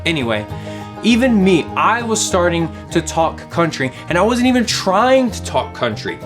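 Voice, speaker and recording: A man's voice: high voice, moderate pace, over music. A young man in his twenties from Louisiana with a Southern accent, teaching a playful kids' object lesson with lots of questions. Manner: animated, playful, asks questions. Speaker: kids ministry teacher.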